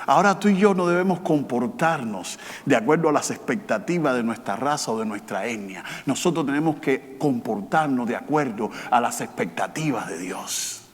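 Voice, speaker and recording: A man preaching a sermon as pastor, with emphatic repetition, rising to loud passages and dropping to quiet, slow ones. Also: medium pitch, gravelly. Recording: long hall reverb.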